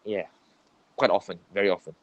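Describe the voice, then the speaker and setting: A man's voice: low-pitched, fairly even intonation, a little fast, no echo, highs cut off. A young Malaysian man whose first language is Tamil, co-hosting a casual podcast, rambling and repeating himself with a Malaysian English accent. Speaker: podcast co-host. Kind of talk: casual chat.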